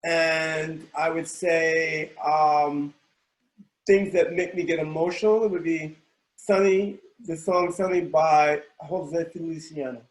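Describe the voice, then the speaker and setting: A man's voice: fairly high pitch, little pitch movement, slow pace. A man chatting casually as a guest, thinking aloud with a hesitant, thoughtful delivery, on roomy, echoing live-stream audio. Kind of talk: casual chat.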